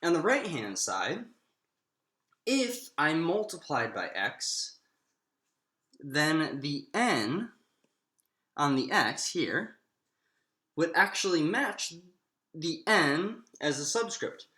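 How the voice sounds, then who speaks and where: A man teaches like an informal math instructor, thinking aloud as he goes. His pitch moves in lively swings and his tone is bright and forward. The sound is roomy, with clear echo around the voice. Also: slow pace, pauses often. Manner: explanatory, thinking aloud with self-posed questions. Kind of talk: informal math lecture, working through steps aloud.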